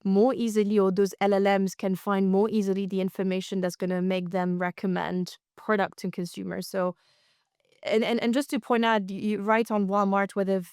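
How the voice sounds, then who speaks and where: A woman's voice: mid-range voice, a little mellow, smooth, no echo, studio quality. A woman co-hosting a podcast, discussing industry news conversationally and often rephrasing as she explains.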